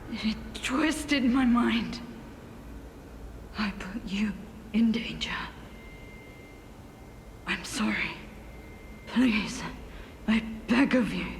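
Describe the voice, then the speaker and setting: A woman's voice: a little high, gravelly, a little hiss or hum, full character voice. An actress playing a tormented, fierce woman warrior in a video game, intense and anguished in delivery. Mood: weary regret. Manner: intense, anguished, halting when quiet. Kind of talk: dramatic video game acting.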